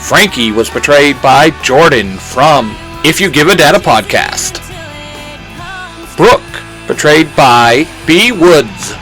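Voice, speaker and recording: A man hosts, reading credits in a scripted announcer style. His voice is bright and forceful with lively swings in pitch, and he takes his time between phrases. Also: slow, soft background music, poor audio. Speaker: host reading cast credits.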